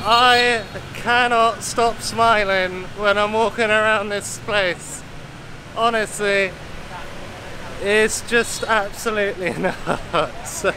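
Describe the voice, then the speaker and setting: A man's voice: high voice, steady tone, takes it slowly, noisy background. A man in his thirties chatting casually and enthusiastically to a handheld camera as a travel vlogger out on busy night streets. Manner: enthusiastic, informal. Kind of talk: casual vlog chat to camera. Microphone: camera held at arm's length.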